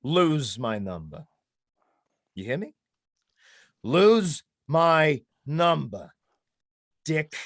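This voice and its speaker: An actor playing a put-upon, indignant sidekick in a comic noir stage play, complaining emphatically and sarcastically. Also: male voice, a little high, very animated, drawn-out words, long pauses, dry recording, clean studio sound, exaggerated voice. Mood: fed up. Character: exasperated, put-upon male sidekick of a detective.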